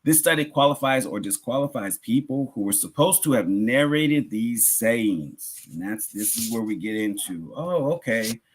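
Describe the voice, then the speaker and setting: A man's voice: medium pitch, animated, moderate pace, few pauses, husky. Man teaching a religious class. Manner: conversational, rhetorical questions, rephrases points for listeners. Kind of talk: religious lecture, explanatory teaching.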